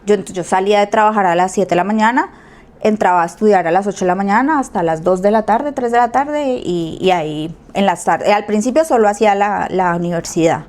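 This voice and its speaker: A woman with a Colombian accent tells her story as a podcast guest in a relaxed chat. Her voice sits at an average pitch. She is animated and acts out quoted speech. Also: fairly quick. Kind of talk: casual storytelling.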